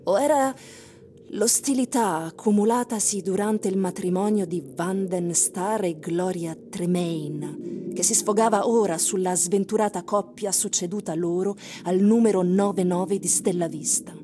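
A woman giving dramatic, literary radio narration with deliberate, suspenseful phrasing. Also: mid-range voice, background noise. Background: music.

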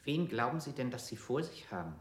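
A man voices a hard-line interrogator in a radio play, his mid-pitched voice rising and falling. He sounds curt and accusing, with some room around him. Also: sing-song, a little fast, reverberant, lightly acted.

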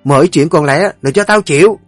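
A tyrannical old lady of the house barks orders in a high, piercing voice, as a male narrator puts her on. Her pitch leaps and swoops as she scolds. The sound is close and dry, over faint music. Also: big pitch swings, a little fast, no echo. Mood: furious. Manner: shrill commanding scolding. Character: stern harsh old mistress of household, woman.

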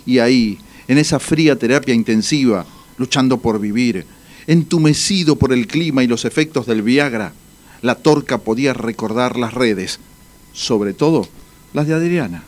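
A man's voice: mid-range voice, normal speed, lightly acted. Guy playing wry narrator, theatrical, mid-range voice, comic radio play. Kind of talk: scripted comic drama narration.

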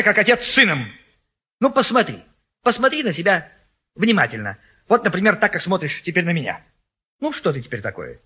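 An excitable, high-pitched, pushy friend. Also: male voice, slightly rough, exaggerated voice. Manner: insistent, theatrical, cajoling and scolding. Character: pushy, excitable male friend bullying a bachelor into marriage. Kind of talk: classic Russian stage comedy acting.

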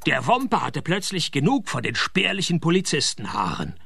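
A sardonic private detective in a radio play. His voice is high and gravelly, swinging widely in pitch while the delivery stays dry and deadpan. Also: moderate pace, no room sound, heavily acted. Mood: wry. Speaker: narrator and lead actor.

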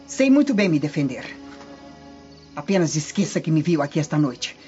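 A woman's voice: sits low, big pitch swings, normal speed, warm tone. An actress playing a scheming, contemptuous Roman noblewoman in a radio soap opera, commanding and imperious. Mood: haughty, scornful. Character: haughty, scheming Roman noblewoman, wife of the prefect. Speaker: radio drama actor. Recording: dull lo-fi audio with faint music.

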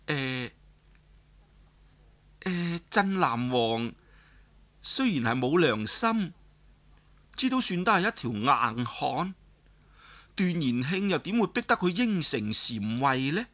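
A man with a Cantonese accent voicing a scheming older noblewoman in a story, halting and guarded as she frets over her lover. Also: medium pitch, sing-song, fairly bright tone. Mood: anxious, hesitant. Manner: hesitant, halting, repeats words.

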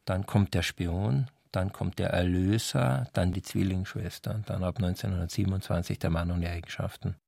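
A man's voice: deep voice, fairly even intonation, moderate pace, gravelly, hardly any pauses, darkish tone, no echo, clean studio sound. A man speaking as a literary scholar, explaining and enumerating point by point. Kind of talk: explanatory talk on a novel's history.

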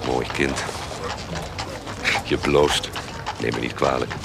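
A flirtatious gentleman in a historical radio drama. His voice is rock-bottom low and creaky, drawn out slowly. Loud animal ambience surrounds him. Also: steady tone, heavy background noise, heavily acted.

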